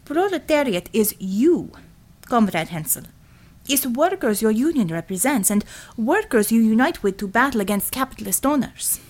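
A proud Russian woman commissioner from an audiobook, as acted by a female narrator. She has a Russian accent and lectures in blunt, clipped broken English. Her temper is sharp and her pitch moves with lively swings. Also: normal speed. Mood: declaiming.

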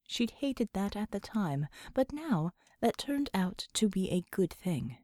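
Woman, audiobook narrator, scripted fiction, mid-pitched, very dry close sound. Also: sing-song, unhurried, mellow, dry recording.

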